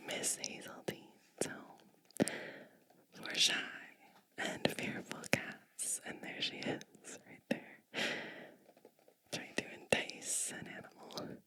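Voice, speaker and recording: A woman whispering gently and breathily close to the microphone, reading a storybook aloud with chatty asides in an ASMR style. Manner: slow, gentle, hushed delivery with chatty asides.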